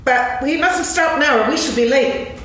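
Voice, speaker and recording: A woman's voice: sits high, normal speed, fairly bright tone. A woman playing a boastful, bouncy young tiger in a staged reading of a children's story, speaking emphatically. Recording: echoey hall from far off, faint noise.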